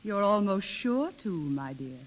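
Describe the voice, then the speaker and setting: An actress playing a gracious, gently knowing woman of forty, poised and well-mannered, in 1930s radio drama style. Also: mid-range voice, big pitch swings, measured pace. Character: gracious, discerning forty-year-old lady, gently knowing. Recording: dull 1930s radio broadcast recording.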